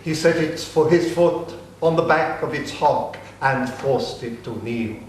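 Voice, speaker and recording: A man narrating an ancient epic in a dramatic reading, slow and solemn. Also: mid-range voice, a little hiss or hum. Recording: echoey room, distant mic.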